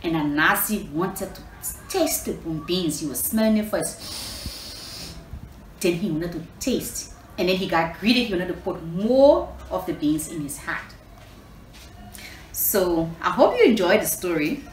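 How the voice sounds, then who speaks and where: Animated woman reading to children. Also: lowish voice, unhurried. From Liberia. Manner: repetitive, asks listeners questions, teacherly. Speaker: storytelling host reading to children. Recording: roomy echo, clear background noise.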